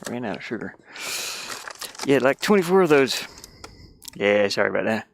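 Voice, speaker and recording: A man's voice: mid-range voice, sing-song, normal speed, vocal fry, slight background noise. A man chatting casually and loosely while he works, mumbling asides to himself in an informal, rambling way. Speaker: streamer and hobby game developer. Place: inside a van.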